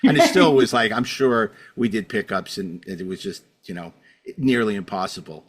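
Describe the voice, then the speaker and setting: Casting: male podcast host, slightly low voice, wry, opinionated, casual interviewing. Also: expressive, moderate pace, breathy edge.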